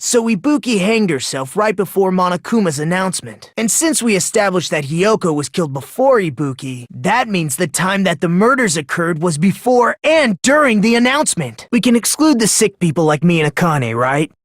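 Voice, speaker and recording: Casting: polite, unsettlingly excitable teenage boy for a mystery game; breathy gushing and soft musing, highly varied intonation, dry studio sound. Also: normal speed, hardly any pauses, no room sound. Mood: pensive. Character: polite, self-loathing, eerily excitable teenage boy sleuth. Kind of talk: English video game voice acting.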